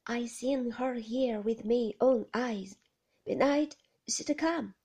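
A woman's voice: on the high side, takes it slowly, dark tone, breathy edge, heavily acted. A woman voicing a simple-minded, fearful older man who speaks in broken rustic grammar, lifting her whisper into a voiced, pleading tone. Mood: secretive. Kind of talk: whispered audiobook reading, voiced aloud for the figure.

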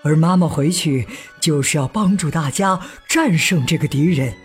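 A man playing a calm, patient father, gentle and reassuring, in a children's radio drama. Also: fairly high pitch, moderate pace, husky, faint music behind. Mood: comforting.

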